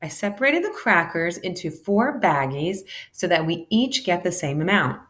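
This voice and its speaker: A woman giving an animated, deliberate read-aloud of a children's educational storybook, shifting between character lines. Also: on the low side. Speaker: teacher reading a children's math book aloud.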